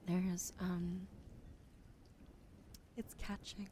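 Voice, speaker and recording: A woman livestreaming ASMR in a soft, breathy whisper and hushed casual chat, with a slightly weak, wheezy throat, speaking gently to her viewers up close to the mic. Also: on the low side, little pitch movement, drawn-out words, a little hiss or hum. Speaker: streamer hosting a live ASMR broadcast.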